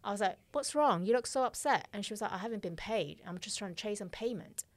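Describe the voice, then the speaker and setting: Blunt, animated woman telling a story. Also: medium pitch, fast. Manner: blunt, animated, swears freely, acts out dialogue. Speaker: podcast guest, fashion director. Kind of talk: casual interview storytelling. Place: small dark podcast studio. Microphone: studio mic on arm, headphones.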